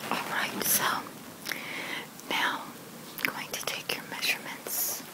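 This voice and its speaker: A woman whispering softly and breathily in an ASMR roleplay as a shop consultant, in unhurried short phrases with hesitant pauses. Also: rough recording. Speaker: ASMR creator playing a bridal boutique consultant.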